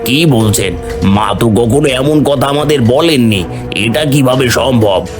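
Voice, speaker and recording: A man's voice: mid-range voice, unhurried, music in the background, close to an everyday voice. A man playing an earnest, fair-minded village elder in a cartoon. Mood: shocked. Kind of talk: cartoon voice acting.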